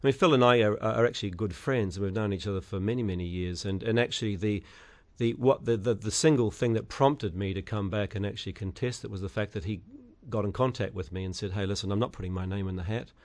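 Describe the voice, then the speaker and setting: A middle-aged man with a New Zealand accent, answering radio interview questions as an election candidate, halting with many fillers and restarts. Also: fairly low pitch.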